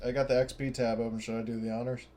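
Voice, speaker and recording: A man's voice: medium pitch, little pitch movement, rapid-fire, a crowd in the background. A man playing a tabletop roleplaying game, talking informally through rules and points. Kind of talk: casual chat during a roleplaying game.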